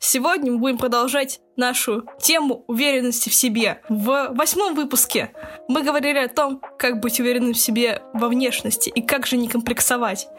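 A woman's voice: a little high, fairly even intonation, a little fast, fairly bright tone, faint music behind. A twenty-year-old woman hosting a casual podcast chat in a playful, teasing manner. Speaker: podcast host.

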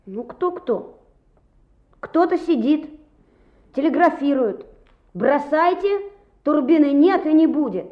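Casting: confident schoolboy for radio drama, very high, animated, brisk, in a roomy vintage recording. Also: female voice. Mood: earnest, indignant. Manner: brisk, boyishly assertive. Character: eager, stubborn schoolboy, confident young builder. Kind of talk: Soviet radio play acting. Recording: muffled, roomy old radio play recording.

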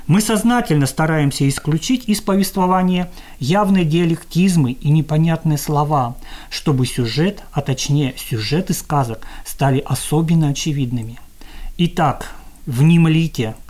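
A woman's voice: low-pitched, a little mellow. A woman narrating a scripted introduction as a podcast host, with solemn, literary phrasing.

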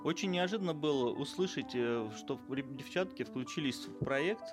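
A man's voice: mid-range voice, lively intonation, normal speed, music in the background. A middle-aged man, a father, talking casually and jokingly about his daughter's podcast, rambling as he goes. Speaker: guest parent. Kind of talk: casual home recording.

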